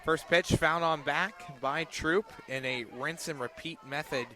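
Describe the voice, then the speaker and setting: A man with a slightly high voice works as a play-by-play announcer on a softball broadcast. He calls each pitch and count live, outdoors at the field. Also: a little slow.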